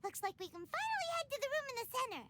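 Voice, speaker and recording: A woman voicing a tiny, excitable, childlike fairy companion in a video game, squeaky and exclamatory. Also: very fast, very noisy. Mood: excited. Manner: exclamatory, refers to self in third person. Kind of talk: scripted video game voice acting. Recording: game audio with music underneath. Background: game music.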